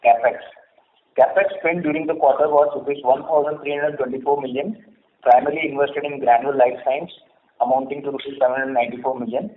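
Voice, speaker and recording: Man, Indian accent, executive, formal scripted reading, mid pitch, narrow telephone sound. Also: level tone, fast. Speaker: company executive presenting results. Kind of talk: scripted financial report. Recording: narrow phone line, faint noise.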